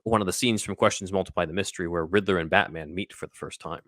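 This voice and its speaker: A man with a low, crisp-edged voice hosts a podcast. He explains things casually and conversationally, in a very dry recording. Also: quick pace, a bit bright, dry recording. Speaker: podcast host.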